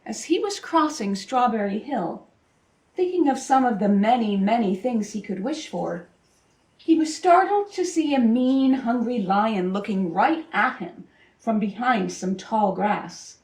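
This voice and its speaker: A woman with a fairly high voice reads a children's story aloud in a very echoey room. She phrases it expressively and deliberately, pausing for emphasis, a little way from the microphone. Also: expressive, darkish tone. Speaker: storybook reader. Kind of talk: expressive children's picture-book read-aloud. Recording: roomy echo, distant microphone.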